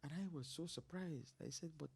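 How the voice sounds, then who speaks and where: A man in his sixties from Belize, a pastor telling stories in a relaxed interview, animated and quick to laugh. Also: a little high, vocal fry, husky. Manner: animated storyteller, laughs often. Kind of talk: casual interview talk.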